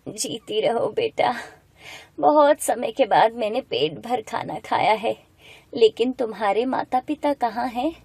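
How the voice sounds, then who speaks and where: Woman playing a gentle, mellow old woman. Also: a little high, expressive, a little slow, vocal fry, slightly rough, no echo, heavily acted. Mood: gentle, reassuring. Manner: gentle, motherly, reassuring. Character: kindly, hungry old woman blessing a girl. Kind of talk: animated moral story dialogue.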